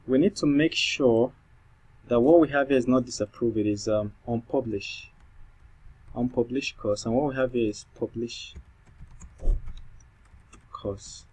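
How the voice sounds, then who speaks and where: A man's voice: medium pitch, a little slow, long pauses, darkish tone, breathy edge. A man speaking English with a non-native accent, thinking aloud through a coding tutorial with many fillers and restarts. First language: not English. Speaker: programming tutor. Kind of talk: screen-recorded coding tutorial.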